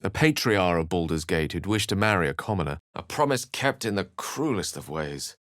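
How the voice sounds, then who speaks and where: A refined, scholarly video game wizard in middle age. He phrases things ornately and with wry precision, theatrical yet measured. The recording is close and dry. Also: sits low, expressive, fairly bright tone, no room sound. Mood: grave storytelling. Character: eloquent, refined adult male wizard companion, wry and scholarly.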